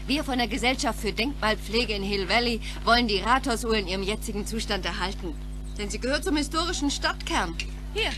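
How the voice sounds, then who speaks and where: Actress as a high-voiced, zealous street campaigner. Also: female voice, lively intonation, a little fast, background noise, close to an everyday voice. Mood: zealous, proclaiming. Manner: insistent, proclaiming. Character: zealous female campaigner collecting for a town cause. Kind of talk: German radio play acting.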